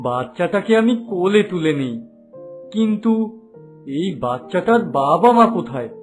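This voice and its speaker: A man playing a kind, soft-hearted poor father in a cartoon fairy tale, speaking in a plaintive, emotive way. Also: fairly high pitch. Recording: faint background music.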